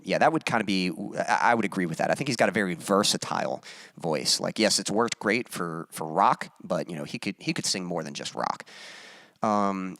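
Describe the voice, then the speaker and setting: A man hosting his own music live stream, chatting casually with viewers and reading their comments aloud, with many pauses and fillers. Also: deep voice, no room sound. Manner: relaxed, halting, many fillers, reads chat aloud.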